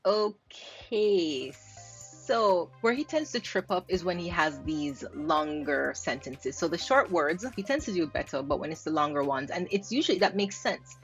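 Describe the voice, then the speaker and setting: A woman's voice: lowish voice, lively intonation, unhurried, faint music behind. A Jamaican woman linguist explaining pronunciation. She repeats words aloud to show how they sound and laughs lightly. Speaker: linguist host. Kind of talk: explaining pronunciation while reacting to clips.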